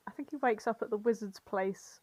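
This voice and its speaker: A woman's voice: medium pitch, brisk, darkish tone, no room sound. Woman, giggly and dryly recorded. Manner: giggly, irreverent, rambling. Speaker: podcast co-host reading and summarising fan fiction. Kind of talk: casual comedic storytelling chat.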